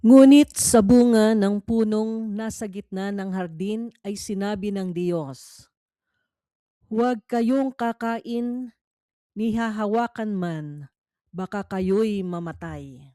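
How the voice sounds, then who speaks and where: A woman reading a scripted Bible quiz in a measured, formal, instructional manner. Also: medium pitch, mellow, no echo. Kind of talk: scripted read-along narration.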